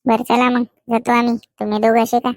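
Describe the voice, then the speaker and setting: A woman's voice: on the high side, little pitch movement, fast talker, no room sound, close to an everyday voice. A woman voicing a playful, teasing village boy sidekick in a comedy cartoon, with a rural Maharashtrian accent.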